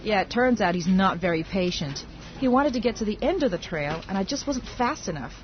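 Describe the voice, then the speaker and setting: A woman's voice: mid-range voice, fairly quick, slight background noise, close to an everyday voice. A woman acting out a friendly everyday chat in a scripted listening dialogue. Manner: conversational, expressive. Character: woman talking over her dating life. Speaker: dialogue actor. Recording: dull lo-fi audio.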